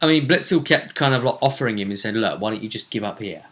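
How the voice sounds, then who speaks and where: A man hosting a casual recap of a tabletop role-play tournament, telling it like a story with deliberate phrasing. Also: on the low side, lively intonation, fairly bright tone. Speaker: host.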